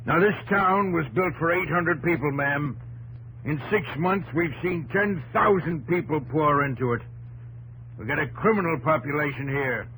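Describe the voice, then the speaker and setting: A fiery American newspaper publisher of the frontier in a 1950s radio drama. His voice is fairly high, blustery and emphatic, with folksy phrasing, over a slightly noisy, humming old broadcast. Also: normal speed, bright, forceful tone. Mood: indignant. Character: fiery frontier newspaper publisher, hard-nosed vigilante leader.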